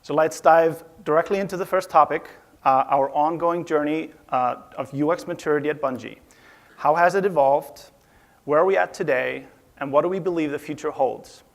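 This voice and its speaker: A man from Iceland giving a measured, slightly formal conference talk about leading a design team. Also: on the high side, gravelly. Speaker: conference speaker, studio UI/UX director. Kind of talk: presentation talk. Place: conference stage.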